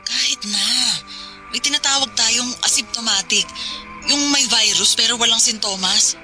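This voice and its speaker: A woman's voice: on the high side, normal speed, loud music behind the voice, lightly acted. A woman acting a worried working wife who anxiously scolds her husband over a phone call in a radio drama. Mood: concerned. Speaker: wife calling from work.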